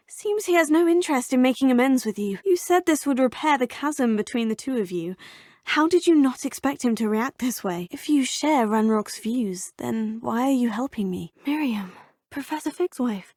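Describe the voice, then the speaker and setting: A woman's voice: on the high side, a little mellow, dry recording, studio quality, close to an everyday voice. An actress playing an earnest, curious young student witch, speaking politely and formally. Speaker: player character. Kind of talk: video game voice lines.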